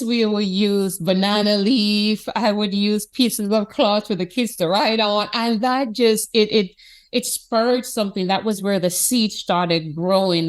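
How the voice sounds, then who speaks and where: A woman from Jamaica with a Jamaican accent, an institute president telling childhood stories in an expressive, animated way during an interview. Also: mid-range voice. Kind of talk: conversational interview.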